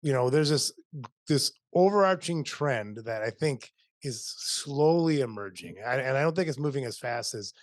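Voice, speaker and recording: Male podcast host, middle-aged, energetic, rambling, dry mic. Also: medium pitch, moderate pace, no room sound. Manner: upbeat, rambling with restarts. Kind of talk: video-call interview. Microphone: studio mic on boom, video call.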